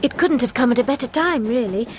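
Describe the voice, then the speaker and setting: An actress playing a chatty, cheeky, independent young secretary with a British accent in a radio drama. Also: female voice, a little high, animated, quick pace, noisy background, natural voice. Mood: chatty, frank. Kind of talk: casual dialogue in radio drama.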